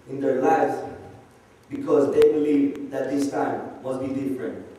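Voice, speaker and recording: Young man, medium pitch, formal read-aloud speech, very echoey church, distant mic. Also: warm tone. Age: young adult. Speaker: congregation youth member reading a speech. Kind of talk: read-aloud political speech at prayer service. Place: large church, indoors. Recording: echoey church hall from far off.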